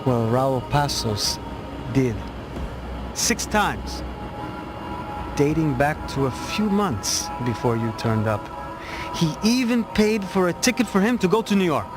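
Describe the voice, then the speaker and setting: A man's voice: on the high side, sing-song, takes it slowly, long pauses. A man playing a savvy, streetwise investigator in a game cutscene, probing and needling in a conversational way. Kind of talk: gritty video game cutscene acting. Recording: loud music under the voice.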